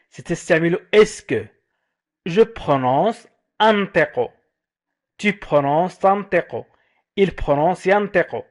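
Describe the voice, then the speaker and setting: A man teaching French phrases to learners, repeating each phrase many times and translating it, in a slow, deliberate drill. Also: on the high side.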